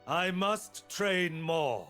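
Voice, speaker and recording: Game monk: stern, wise, confident, slightly higher than average male pitch, unhurried delivery of solemn maxims. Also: lively intonation, pushed and bright, soft background music, heavily acted. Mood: stern, resolute. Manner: solemn, measured aphorisms. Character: blind warrior monk, stern, wise, confident, dryly humorous.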